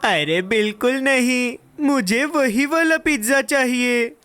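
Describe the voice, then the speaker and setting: Little cartoon boy, high n bouncy, super eager, takes his time on words, music under it. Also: slow pace, a little hiss or hum. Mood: upset, stubborn. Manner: exaggerated, expressive delivery. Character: José, eager excitable young boy who loves food. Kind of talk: children's cartoon dub.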